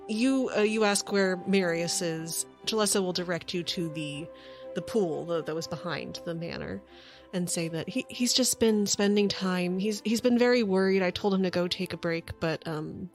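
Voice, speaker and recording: A woman narrating a tabletop role-playing game as game master, in a casual conversational style. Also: fairly low pitch. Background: music under the voice.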